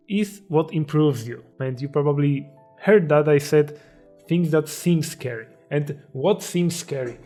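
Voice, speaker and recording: A man's voice: on the high side, darkish tone. Young Polish-accented man explaining. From Poland. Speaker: self-improvement video creator. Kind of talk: motivational explainer to camera.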